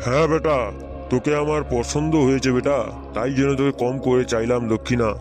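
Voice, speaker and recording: A man's voice: mid-range voice, steady tone, fairly quick, lightly acted. A man playing a wily, greedy holy-man guru in a comic cartoon, coaxing and repeating himself. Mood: wheedling. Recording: music under the voice.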